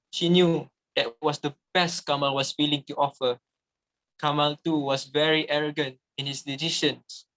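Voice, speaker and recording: A man's voice: a little high, bright, forceful tone, low-quality recording. A man acting as the sympathetic narrator of a moral tale in a scripted reading. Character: sympathetic storyteller drawing a moral.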